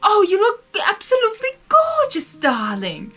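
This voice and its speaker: A woman's voice: very high voice, wildly swooping pitch, normal speed, fairly bright tone, soft background music, voice pushed up, heavily acted. A woman voicing a glamorous female unicorn pony in a storybook reading, theatrical and gushing.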